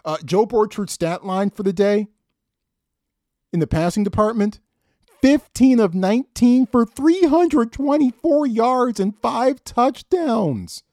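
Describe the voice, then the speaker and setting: A man's voice: very high-pitched, lively intonation, takes it slowly, a little mellow, no echo, studio quality. A middle-aged man hosting a sports podcast, telling game stories with enthusiastic, emphatic, conversational commentary.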